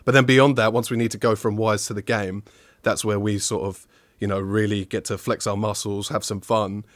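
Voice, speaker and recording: A young man with a southern English accent talks casually and upbeat, with plenty of fillers along the way. His voice sits a touch low, and it sounds dry and close with almost no room around it. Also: little pitch movement, moderate pace, no echo. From England, outside southwest London. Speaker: guest, technical audio designer. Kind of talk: casual interview chat.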